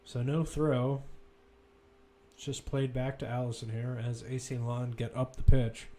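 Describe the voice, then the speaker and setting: A man's voice: mid-range voice, flat delivery, unhurried, dark tone, slight background noise. Man calling live football play-by-play. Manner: running description with self-corrections and asides. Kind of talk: live sports commentary.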